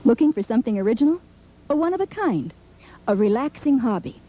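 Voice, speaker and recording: Mellow, higher-pitched woman announcer. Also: big pitch swings, brisk. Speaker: commercial announcer. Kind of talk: scripted 1970s local TV ad read. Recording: dull, lo-fi vintage TV audio.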